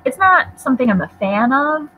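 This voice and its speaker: A woman's voice: sits high, big pitch swings, normal speed, pushed and bright. A young woman born in Poland chatting casually on a livestream, answering viewer questions in a rambling, self-deprecating way with many fillers. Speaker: book vlogger. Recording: tinny phone-like livestream audio, faint noise.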